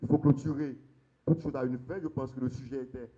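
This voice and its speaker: A man's voice: mid-range voice, steady tone, brisk, highs cut off. A Haitian man on a law panel, explaining the constitution emphatically. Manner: emphatic, stresses points with sharp rises. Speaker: lawyer on a conference panel.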